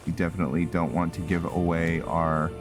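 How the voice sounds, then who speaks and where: A man's voice: low-pitched, flat delivery, a little fast, music in the background. A man giving casual commentary on a roleplay video game, muttering to himself and often repeating his words. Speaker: gaming content creator.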